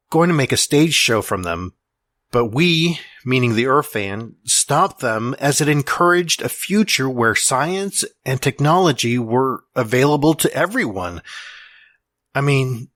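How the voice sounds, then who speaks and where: A man's voice: medium pitch, a bit bright, no echo, studio quality. A man playing an earnest, nerdy science lover who rambles and apologizes as he reads out his letter in an audio drama. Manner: rambling, self-interrupting, apologetic, sighing.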